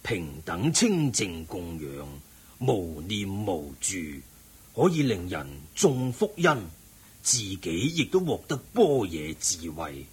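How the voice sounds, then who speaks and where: A man acting in a radio drama as a genial, learned Buddhist lay sage, with a measured, preaching and expressive manner and a husky voice. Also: low voice, full character voice. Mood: earnest, instructive.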